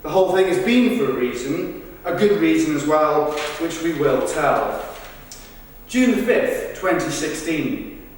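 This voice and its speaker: A man's voice: on the high side, expressive, a little slow, a little hiss or hum. A man delivering a rehearsed verbatim theatre monologue, recorded from a distance in a very echoey rehearsal room. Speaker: stage performer. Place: large reverberant indoor rehearsal room.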